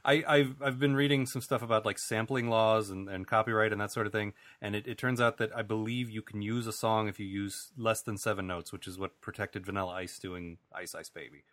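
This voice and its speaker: A man co-hosting a podcast in casual chat, animated and quick to laugh. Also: lowish voice, dry recording. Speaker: podcast co-host.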